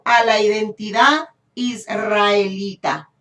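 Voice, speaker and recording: Female preacher, Honduran accent, emphatic and unhurried delivery, heard from a distance in an echoey room. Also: mid-range voice, steady tone, a bit bright. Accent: Honduran Spanish. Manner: emphatic, repeats phrases, checks in with rhetorical questions. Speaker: preacher teaching a congregation. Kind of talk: sermon, Bible teaching.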